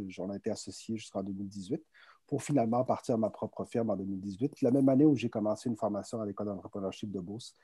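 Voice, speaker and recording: A man of about forty speaks with an accent that blends Québécois and French, in a mellow, warm voice that is dry and close to the mic. He tells his story in an animated, conversational way over a video call and often repeats a word while he thinks. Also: fairly low pitch, breathy edge, dry recording. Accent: mixed Québécois and French. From Chile, raised in France. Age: around 40. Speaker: guest entrepreneur. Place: home office on a video call.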